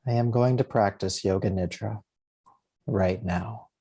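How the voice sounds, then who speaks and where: Male meditation guide: low, very soft-edged relaxed tone, gentle and soothing, short unhurried phrases. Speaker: yoga nidra teacher.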